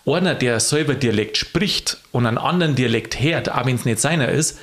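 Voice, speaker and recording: Chatty man, animated. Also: moderate pace. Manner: chatty, animated, swings pitch up for emphasis. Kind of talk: casual chat.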